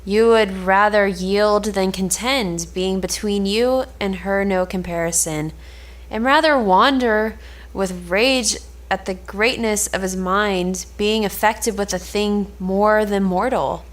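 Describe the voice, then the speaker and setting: A woman's voice: mid-range voice, natural voice. A woman playing a frank, admonishing confidant, reading formal archaic lines in an amateur cold read of an Elizabethan play. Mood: frank, reproving. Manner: measured, formal archaic phrasing.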